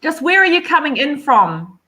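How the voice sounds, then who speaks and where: A woman's voice: high-pitched, big pitch swings, moderate pace, sharp tone. A woman hosting a live online chat over a video call, upbeat and encouraging. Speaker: host.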